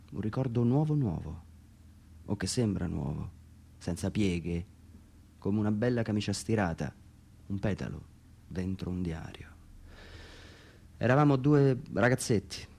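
A man's voice: deep voice, creaky, mellow, slightly rough. A man from Umbria, Italy, giving a dramatic literary reading of his own text for radio theatre, brooding and theatrical, sometimes dropping to near whispers.

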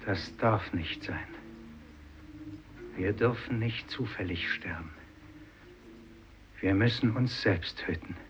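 Grave insistent fisherman, low voice, old radio drama, noisy tape. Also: takes it slowly, long pauses, dull audio. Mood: grave, resolute. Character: grave, resolute Japanese fisherman facing death. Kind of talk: 1950s German radio play acting.

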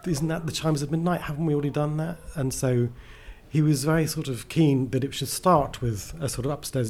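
A man's voice: medium pitch, mellow. A man chatting casually as an interview guest, a writer who is enthusiastic, rambling and self-deprecating.

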